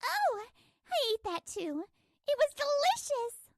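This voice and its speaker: A woman's voice: very high-pitched, big pitch swings, slow pace, dry recording, studio quality, voice pushed up, exaggerated voice. A woman voicing a spoiled, brazen young little sister in a manga dub, flippant and entitled. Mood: smug, defiant. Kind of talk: scripted manga dub dialogue.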